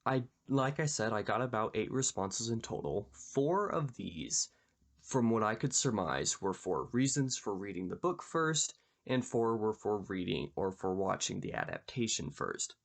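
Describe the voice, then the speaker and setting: A man hosting a book channel, talking casually and explaining in a measured, conversational way with a slightly gravelly voice. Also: on the low side, lively intonation. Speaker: YouTube book channel host. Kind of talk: casual book discussion to camera.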